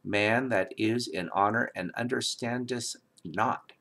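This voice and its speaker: A man reading the Bible aloud in a solemn, dramatic style, with emphatic, declamatory delivery and measured phrasing. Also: on the low side, creaky, sharp tone. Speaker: scripture reader.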